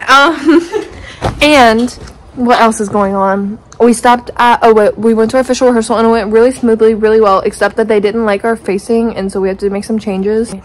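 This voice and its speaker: A woman's voice: on the high side, fairly even intonation, moderate pace, rough recording. A young woman in her late teens talking in casual, chatty, rambling vlog style into a handheld phone. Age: teens, about 18. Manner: chatty, rambling, informal slang. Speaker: vlogger. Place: hotel room, restaurant and street on a trip. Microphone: phone at arm's length.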